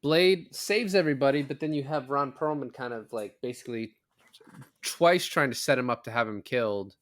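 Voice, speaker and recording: A man's voice: mid-range voice, moderate pace, no room sound. Man chatting casually, dry close sound. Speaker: podcast co-host. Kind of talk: casual film discussion.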